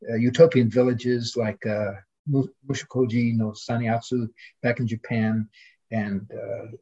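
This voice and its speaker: Husky male scholar on muffled audio. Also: medium pitch, mellow. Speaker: podcast guest, literary scholar. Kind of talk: scholarly conversation.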